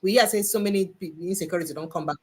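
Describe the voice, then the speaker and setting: A woman with a Nigerian accent and a husky voice, hosting a casual interview in English and Pidgin with a playful, teasing manner. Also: on the low side, rapid-fire, over a noisy crowd. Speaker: host.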